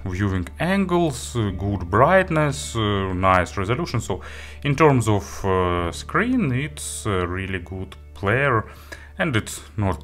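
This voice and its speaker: A man presenting a product review, walking through features item by item in halting, non-native phrasing. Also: lowish voice, a bit bright. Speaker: audio gear reviewer.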